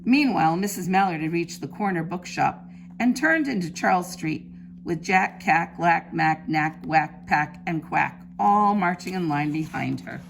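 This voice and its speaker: A woman reads a picture book to young children with plenty of expression and emphasis. Her voice sits fairly low and has a gravelly creak. There is faint noise behind her. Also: animated, unhurried. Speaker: preschool teacher reading aloud. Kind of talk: animated children's storybook reading.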